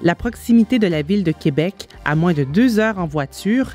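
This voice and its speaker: A woman's voice: lowish voice, animated, moderate pace, darkish tone. A woman reading scripted narration for a video report as its reporter. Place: voice-over recording. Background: music bed.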